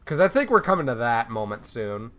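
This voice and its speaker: A man's voice: medium pitch, very animated, brisk, a bit bright, a little hiss or hum. A man casually co-hosting a reaction commentary, given to playful, theatrical exclamations. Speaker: co-host of a reaction stream.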